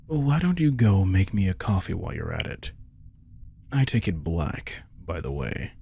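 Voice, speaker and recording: A man's voice: on the low side, gravelly, mellow, breathy edge, exaggerated voice. A man playing a sardonic, laid-back vampire king in an intimate audio roleplay, teasing and manipulative as he speaks casually to the listener. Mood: coaxing, smug. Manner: laid-back, wry, teasing, informal swearing.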